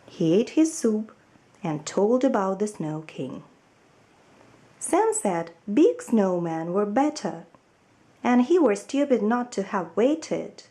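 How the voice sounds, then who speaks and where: A woman narrating a children's storybook aloud in an expressive reading style. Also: mid-range voice, slow. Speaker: storybook reader.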